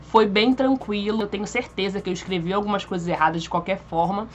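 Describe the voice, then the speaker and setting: A young woman speaks, rattling through a personal story at a brisk clip. Her pitch sits in the middle range, and her talk is loose and chatty, full of fillers and restarts. Faint room ambience hums in the background. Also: fairly even intonation, lo-fi sound, a little hiss or hum. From Brazil. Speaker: vlogger. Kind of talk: casual personal storytelling to camera.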